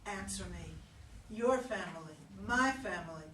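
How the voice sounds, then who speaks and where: A woman in a play reading, playing a wounded, sharp-tongued jilted fiancée with a blunt, challenging manner. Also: medium pitch, animated, unhurried. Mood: angry, challenging. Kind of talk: dramatic stage play reading. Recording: roomy, distant microphone, faint noise.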